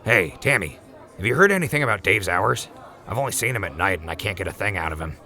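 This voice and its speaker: A man's voice: sits low, lively intonation, fast, bright, forceful tone, full character voice. A man voicing a blunt livestock hand who swears casually and teases his friends in an audio drama. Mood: wry. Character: crude, blunt livestock hand, gruff and teasing.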